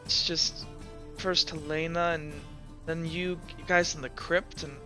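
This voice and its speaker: Guy playing an upset teen girl in a tabletop game, hesitant n sincere, bright voice, music behind. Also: on the high side, level tone, a little slow. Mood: weary, warm. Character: upset, soft-hearted young woman, an orphaned teenager. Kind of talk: improvised tabletop roleplay dialogue.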